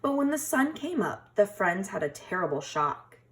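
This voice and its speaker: A woman teacher reading a children's storybook aloud in an expressive, dramatic way. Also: medium pitch, normal speed. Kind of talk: scripted children's storybook narration.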